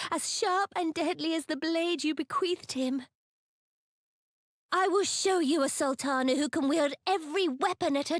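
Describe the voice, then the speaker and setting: A woman's voice: high-pitched, no echo, studio quality. A woman playing a small, resolute young sultana in a fantasy game, regal and tender, with formal archaic speech. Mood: proud, determined. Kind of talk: dramatic fantasy game voice acting.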